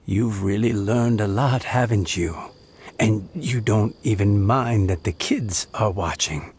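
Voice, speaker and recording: Very slow, tender old grandfather. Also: lowish voice, a little hiss or hum. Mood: tender, teasing. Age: older. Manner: halting, tender, wistful. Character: gentle elderly grandfather, tender and wistful. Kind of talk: video game voice acting.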